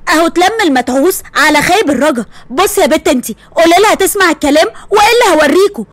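A woman's voice: extremely high pitch, lively intonation, fast, no echo. A woman voicing a bossy, scolding middle-aged mother with an Egyptian accent. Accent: Egyptian Arabic.

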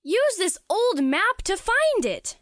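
A bubbly, dramatic girl princess from a kids' comic , played by a woman. The voice is high and extremely animated, perky and gushing. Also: slow, dry recording, clean studio sound, full character voice. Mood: urging. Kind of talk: children's comic read-aloud.

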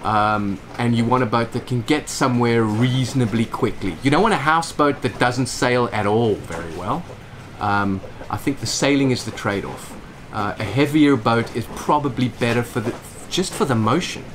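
A man's voice: medium pitch, moderate pace, few pauses, background noise. A man in his forties, a boat owner, explaining casually in an interview inside a boat's salon, gesturing as he talks. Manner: animated, gestures with hands while explaining.

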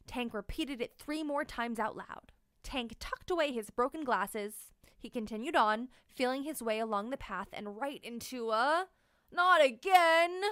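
A woman narrating a children's picture book in an expressive storytelling manner. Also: fairly high pitch, moderate pace, no room sound, studio quality. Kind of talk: children's read-aloud narration.

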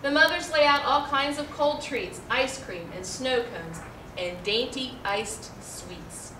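A middle-aged American woman reads a rhyming children's picture book aloud in an expressive, carefully enunciated way. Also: high voice, slow. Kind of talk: children's storybook read-aloud. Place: indoor room, seated before a backdrop. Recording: roomy, distant mic, faint noise.

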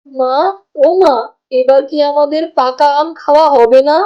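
A woman's voice: sits high, steady tone, a little slow, muffled recording. A woman voicing an earnest, precocious young village daughter in a cartoon, with a questioning manner. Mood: plaintive. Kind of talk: cartoon voice acting.

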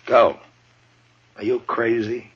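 A man's voice: medium pitch, level tone, slow pace, breathy edge. A man playing a tough, world-weary lawman, firm but fair and blunt, in a 1950s radio western. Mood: stern, exasperated. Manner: authoritative, blunt. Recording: dull vintage radio recording.

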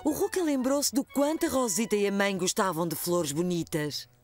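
A woman's voice: medium pitch, normal speed, soft background music. A woman narrating a children's story from a script, with a European Portuguese accent.

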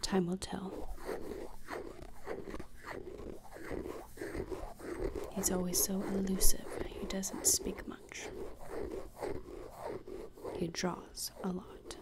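A young woman acts out a gloomy teenage schoolgirl who relishes torture, in an ASMR roleplay. Her voice is a breathy whisper with a strong creak in it. She is deadpan and cutting, placing each phrase deliberately right at the microphone. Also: mid-range voice. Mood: deadpan musing. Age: young adult. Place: small indoor room, plain backdrop. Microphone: close desktop microphone.

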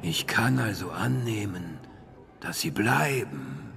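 A man playing a courteous, menacing old vampire count in a radio drama, slow, mock-polite and gravelly. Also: animated, over music, exaggerated voice. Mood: coolly courteous menace. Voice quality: deep, gravelly.

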